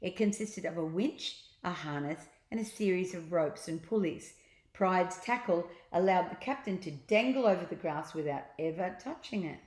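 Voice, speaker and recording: A woman's voice: on the low side, animated, moderate pace, darkish tone, reverberant. A woman reading a children's story aloud expressively, acting out sounds and adding little asides to her listeners. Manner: theatrical, acts out sound effects.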